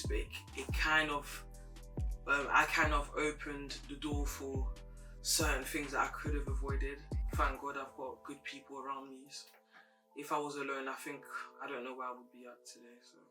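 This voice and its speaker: A young woman with a naturally deep voice talks candidly and thoughtfully as an interview guest. Her delivery stays even and flat. She is recorded from a little distance, with clear music running underneath. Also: normal speed. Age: young adult. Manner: reflective and candid, speaking in a level tone. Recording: distant mic with music playing behind.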